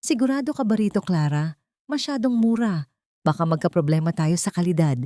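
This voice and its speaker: A woman's voice: lowish voice, lively intonation, fairly quick, dark tone, no room sound, natural voice. Audio drama part: weak-willed male business owner read by a woman, doubtful, hesitant, dry sound. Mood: hesitant, worried.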